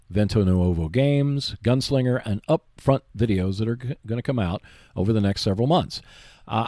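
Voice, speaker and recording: A man's voice: mid-range voice, a little mellow, no echo, clean studio sound. A man hosting a solo gaming podcast, explaining and giving tips in a conversational way. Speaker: podcast host.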